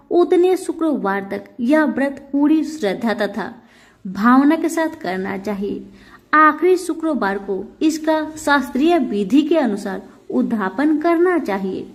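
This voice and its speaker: Lady reading religious folk tales, high pitched and really slow, reverent delivery. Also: darkish tone. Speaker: devotional storyteller. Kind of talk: scripted devotional story narration.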